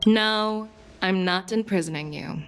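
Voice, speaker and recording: A woman's voice: medium pitch, unhurried, smooth, close to an everyday voice. A woman voicing a confident, candid female general in a video game, assured, formal and articulate. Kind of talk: scripted video game dialogue. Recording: game music behind the voice.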